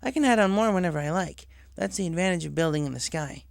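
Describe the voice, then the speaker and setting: A man's voice: high voice, lively intonation, fast talker, creaky, no room sound, studio quality, voice pushed up. A man voicing a confident, casual young female pegasus pony in a story reading. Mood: upbeat, earnest.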